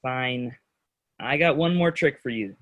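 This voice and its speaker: Casting: wry, impatient sidekick friend played by a man, medium-pitched voice, helpful, children's play. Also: unhurried, fairly bright tone, natural voice. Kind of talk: children's theater staged reading.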